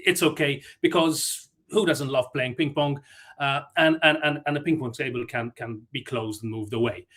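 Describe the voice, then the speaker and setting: A man from Malta giving a conference talk, chatty and self-deprecating, with frequent fillers and restarts. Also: mid-range voice. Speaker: conference speaker.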